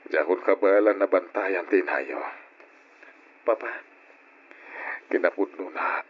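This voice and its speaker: Weary aging Ilocano father, very low voice. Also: a bit bright, a little hiss or hum, full character voice. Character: weary, worried aging father, caring for his family. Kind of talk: old radio drama acting. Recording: muffled lo-fi radio drama recording.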